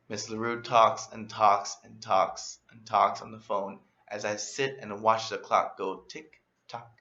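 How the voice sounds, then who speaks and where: A man reading a children's story aloud in an animated, expressive way, as a storyteller. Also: on the low side, extremely slow, dull audio. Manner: expressive, acts out emphasis and exclamations.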